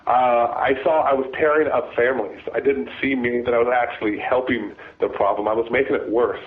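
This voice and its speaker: A man, a former deputy sheriff, talking as a guest with a raspy voice from a cold, halting and restarting his sentences. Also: medium pitch, steady tone. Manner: halting, many restarts and repeated words. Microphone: phone. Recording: phone line.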